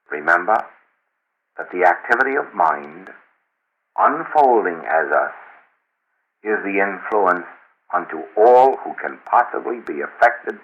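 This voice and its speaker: A middle-aged or older man reading a spiritual teaching aloud in a solemn, measured, deliberate oratorical way. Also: low-pitched, a bit bright, lo-fi sound. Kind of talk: read-aloud metaphysical teaching.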